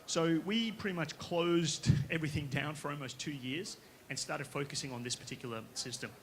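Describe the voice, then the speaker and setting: Bright-voiced Australian man presenting. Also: fairly high pitch, moderate pace. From Western Australia. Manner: casual, conversational, with frequent tag questions. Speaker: company founder pitching to investors. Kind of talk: conference presentation. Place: conference stage.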